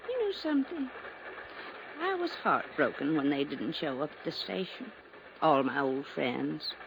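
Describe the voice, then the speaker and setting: An actress playing a frail, wistful older society lady in a vintage radio drama, creaky-voiced and gently insistent. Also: sits low, very animated, measured pace, heavily acted. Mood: wistful, hopeful. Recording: noisy vintage radio recording.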